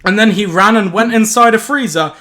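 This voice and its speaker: A man's voice: high-pitched, a little fast, bright, forceful tone. A man hosting a casual group story reading, giggly and playful as he narrates and banters.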